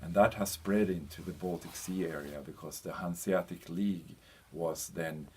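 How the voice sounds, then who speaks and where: A man presenting an informal slide lecture as a teacher, speaking in a hesitant, halting way with frequent pauses. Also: low voice, fairly even intonation. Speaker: university lecturer presenting a lesson. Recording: clear background noise.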